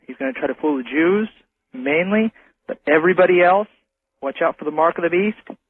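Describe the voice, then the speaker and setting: Male American caller, slightly high and rough-edged, nervous, earnest, halting phrases, heard over a narrow telephone line. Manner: hesitant, halting, short phrases. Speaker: phone-in caller to a radio show. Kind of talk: earnest religious warning in casual phone talk.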